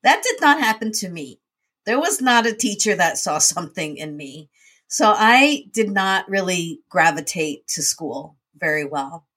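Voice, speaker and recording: A woman with a fairly low voice speaks in a relaxed interview. She tells her own story in an earnest, reflective way, with her pitch sitting a little under the usual. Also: husky. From United States. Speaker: guest, nonprofit founder and CEO. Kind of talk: conversational interview.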